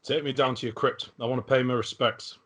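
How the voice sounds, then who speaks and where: A man's voice: mid-range voice, fairly even intonation, a little fast, a bit bright, natural voice. A man playing a boisterous, blunt, imperious fantasy king in his forties at an amateur table read. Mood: brusque, demanding.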